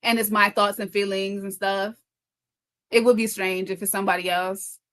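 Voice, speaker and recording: A woman with a medium-pitched voice hosts an informal livestream. She reads comments aloud and answers them conversationally, tripping over words now and then and restating phrases for emphasis. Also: little pitch movement, fairly bright tone. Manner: talks fast, stumbling and repeating herself. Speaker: livestream host and content creator. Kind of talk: casual live chat reading viewer comments aloud.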